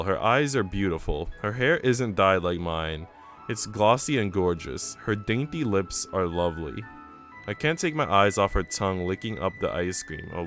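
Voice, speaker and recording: A man's voice: low-pitched, fairly bright tone. A man reading a visual novel aloud on a stream, mixing narration with casual, chatty remarks to viewers. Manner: chatty asides between narrated passages. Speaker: game streamer.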